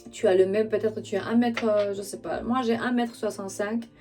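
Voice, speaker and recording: A woman who is not a native French speaker gives an intuitive tarot reading straight to the listener, halting as she searches for words. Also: mid-range voice, steady tone, warm tone, soft background music. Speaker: spiritual tarot reader and channel host.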